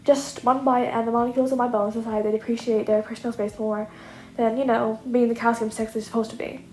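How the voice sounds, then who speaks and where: A woman's voice: a little high, fast talker, close to an everyday voice. A woman voicing a sarcastic, nonchalant teenage boy student who is blunt, weary and flippant. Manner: flippant, deadpan excuses. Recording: echoey room, audible noise.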